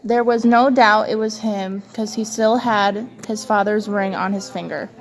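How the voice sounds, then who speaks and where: A woman's voice: medium pitch, fairly even intonation, measured pace. A woman reading a scripted news report aloud as one of two presenters.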